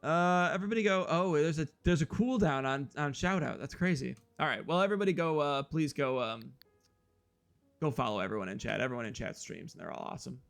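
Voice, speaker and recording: A young man with a loud, slightly hoarse voice, rambling casually to his viewers as a live streamer while he plays a game. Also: a little high, a little fast. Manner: loud, rambling, talks straight to chat. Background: faint guitar music.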